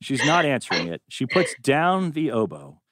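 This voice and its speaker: A man's voice: medium pitch, sing-song, normal speed, noisy background. A man narrating stage directions from a script at a table read.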